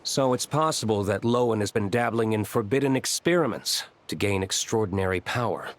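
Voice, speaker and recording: An actor playing a sober, analytical male investigator in a video game, with a grave, formal and deliberate manner. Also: lowish voice, expressive, natural voice.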